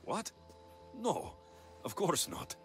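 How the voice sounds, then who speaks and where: An actor playing a plain-spoken heroic mercenary in a video game, with a direct, questioning manner. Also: male voice, high-pitched, big pitch swings, slow pace, music in the background, full character voice. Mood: exasperated. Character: heroic Greek mercenary, plain-spoken, helpful, quick to exasperation. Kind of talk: video game cutscene acting.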